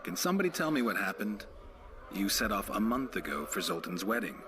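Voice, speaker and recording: A man playing a stoic, dry-witted monster hunter, terse and deadpan in game dialogue. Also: lowish voice, a little fast, noisy background. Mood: calm, dry.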